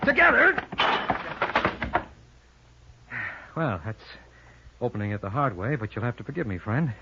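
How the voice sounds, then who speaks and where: An actor playing a cocky, wisecracking lawyer in a 1950s radio detective drama, with flippant, sardonic banter. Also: male voice, medium pitch, huge pitch swings, quick pace. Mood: wry, wary. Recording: dull, noisy 1950s radio recording.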